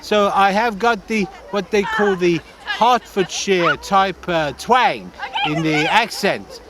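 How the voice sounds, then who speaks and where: A man's voice: sits high, animated, takes it slowly, crowd noise. A man playing a giggly, boastful Norwegian would-be tobogganist, speaking broken English in a put-on foreign accent outdoors. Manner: boastful and earnest. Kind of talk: improvised comedy sketch. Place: outdoors on a snowy slope. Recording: noisy outdoor recording, traffic nearby.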